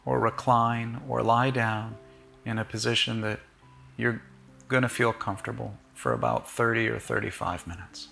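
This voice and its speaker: A man leading a guided body-scan meditation in short, gentle, measured phrases with long pauses. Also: medium pitch, vocal fry, soft background music.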